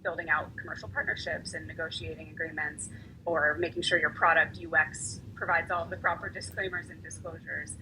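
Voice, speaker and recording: A woman's voice: sits low, little pitch movement, brisk. A woman lawyer speaking as a guest on a panel, telling her story in a chatty way and often repeating words as she goes. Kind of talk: casual panel conversation. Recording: noisy, hissy recording.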